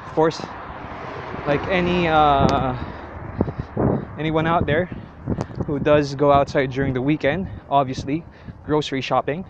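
A young man from the Middle East talks to his handheld camera in a loose, rambling vlog style, stopping often to fix his own words. The sound is dull and lo-fi, with loud street and traffic noise behind him. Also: fairly high pitch, steady tone, a little slow, long pauses. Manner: chatty, rambling, self-correcting. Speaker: vlogger. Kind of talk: casual vlog narration. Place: outdoors in a hot city, then a metro station and a cafe. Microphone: selfie camera at arm's length.

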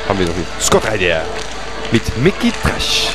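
Lowish-voiced guy doing goofy wrestling commentary w/ fake french bits, music behind. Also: huge pitch swings, normal speed. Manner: jokey, drops in mock-French phrases.

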